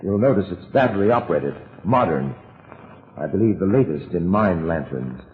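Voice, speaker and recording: Suave detective, animated tinny voice. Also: male voice, deep voice, normal speed. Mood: purposeful. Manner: urbane, dry, crisply authoritative. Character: suave, commanding big-city detective, cool and wry. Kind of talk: 1940s radio acting. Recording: tinny, narrowband old radio recording.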